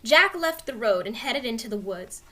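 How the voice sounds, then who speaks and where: A woman reading a children's storybook aloud as narrator, with expressive delivery. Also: on the high side, moderate pace. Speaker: story reader.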